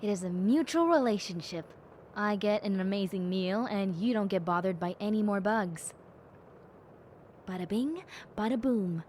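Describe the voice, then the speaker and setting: A woman voicing a gentle, cheerful young bat girl in a soft, playful and sincere audio roleplay. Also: medium pitch, animated, normal speed, slight background noise, lightly acted. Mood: grateful, cheerful. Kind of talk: audio roleplay addressed to the listener.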